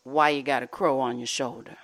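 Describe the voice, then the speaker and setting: A woman voicing a jovial, burly old husband who speaks in a hearty, booming way. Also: steady tone, brisk, a bit bright.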